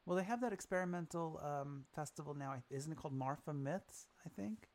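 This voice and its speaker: A man from Brooklyn hosts a casual podcast, talking in an easygoing, loose way. He fills his pauses with ums and drifts through his thoughts. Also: on the high side. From Brooklyn, New York. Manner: relaxed, filler-heavy talk. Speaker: podcast host.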